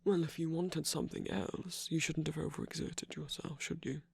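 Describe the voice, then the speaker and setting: A performer of unclear sex playing an affectionate, battle-scarred villain lover in an intimate ASMR roleplay, tender, teasing and soft-spoken, with a breathy, husky voice. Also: mid-range voice, level tone, normal speed. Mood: teasing, scolding.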